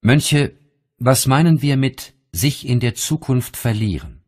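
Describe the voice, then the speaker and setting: Lively-pitched, slightly husky male audiobook narrator. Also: takes it slowly. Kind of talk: measured audiobook reading of spiritual teachings.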